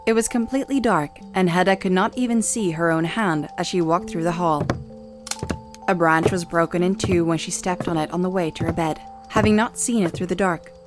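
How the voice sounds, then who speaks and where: A woman's voice: on the low side, brisk. A woman narrating a scripted dramatic story in an audio series. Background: music.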